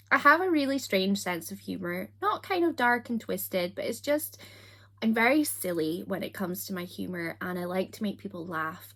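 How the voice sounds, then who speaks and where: A young Scottish woman with a softened accent, chatting casually to camera in a self-deprecating, giggly way. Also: medium pitch. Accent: Scottish, softened toward standard British. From Scotland. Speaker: YouTuber.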